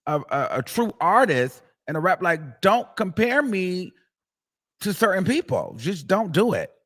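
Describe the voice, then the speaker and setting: A man's voice: sits high, very animated, a little slow, fairly bright tone. A man hosting a live stream, giving emphatic opinions in casual chat. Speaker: host.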